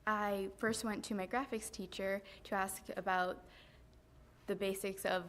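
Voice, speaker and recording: A teenage high school girl giving earnest prepared remarks at a school board meeting, steady with frequent ums, into a podium mic. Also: measured pace, a little hiss or hum. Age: teens. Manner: earnest, steady, many um fillers. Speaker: high school student speaker. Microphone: podium microphone.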